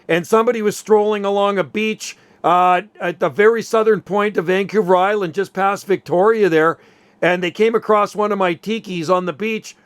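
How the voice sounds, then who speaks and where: A man with a noticeably high voice talks casually as a radio operator. His tone is very smooth and the sound is dry. He rambles along in an easygoing, storytelling way. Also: steady tone, normal speed, no echo.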